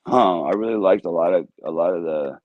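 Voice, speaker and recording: A man with a deep voice, a music artist answering interview questions in a loose, easygoing way and laughing often. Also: brisk, no room sound, narrow, tinny audio.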